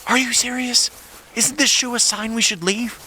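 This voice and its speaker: A man's voice: very high voice, fairly even intonation, moderate pace, bright, forceful tone, a little hiss or hum, full character voice. An actor playing a nervous, skittish, chatty fashion-savvy man tagging along as a sidekick in an audio drama.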